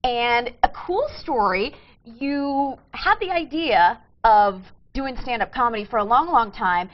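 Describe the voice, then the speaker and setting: A woman hosting a morning TV show, bubbly and quick to laugh as she interviews guests. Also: on the high side, lively intonation. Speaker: TV show host. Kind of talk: upbeat TV interview. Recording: dull TV broadcast sound.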